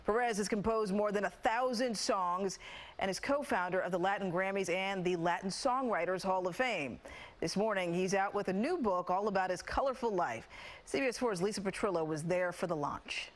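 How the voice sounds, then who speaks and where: An American woman works as a television news anchor. She reads scripted stories in a middle-pitched voice, presenting them in newscast style. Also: normal speed.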